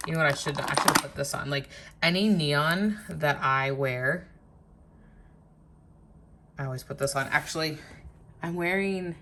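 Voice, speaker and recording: Low-pitched woman slowly chatting about her nail stuff at home, voice going a bit hoarse, noisy recording. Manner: rambling, chatty, many "like" fillers. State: losing her voice. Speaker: nail art vlogger. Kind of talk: casual show-and-tell vlog. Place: home guest room.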